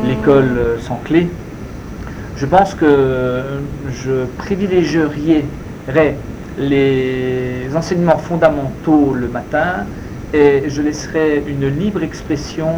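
A man whose first language is Spanish, answering interview questions in a deliberate, hesitant way with many fillers. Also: mid-range voice, lo-fi sound. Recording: steady background noise.

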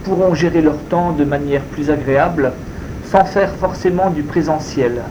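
A man whose first language is Spanish, answering interview questions in a deliberate, hesitant way with many fillers. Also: on the high side, dull audio. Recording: steady background noise.

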